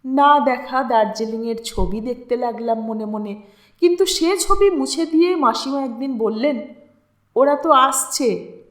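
Lady doing slow dramatic audiobook narration, kinda echoey room. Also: high voice. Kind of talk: expressive literary story narration. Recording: roomy, echoing sound.

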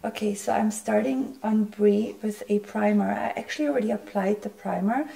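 Hoarse, gravelly woman losing her voice. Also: medium pitch, normal speed, mellow, soft background music, low-quality recording. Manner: chatty, friendly, explains as she works. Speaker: makeup artist. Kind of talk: makeup tutorial.

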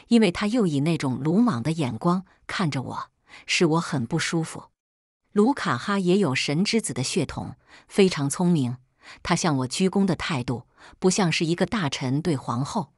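A woman reads a first-person audiobook story in a very low register. Her voice has a slightly husky edge, and the recording is dry, without room sound. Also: no echo. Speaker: audiobook narrator.

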